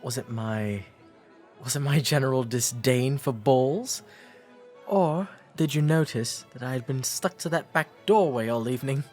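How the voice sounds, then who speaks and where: A man acts out a shy, courteous nineteenth-century gentleman with an English accent for a romantic roleplay drama. His pitch is average and his diction is formal and old-fashioned, delivered with gentle hesitancy. Soft music plays faintly behind him. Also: unhurried, natural voice. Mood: wry, amused.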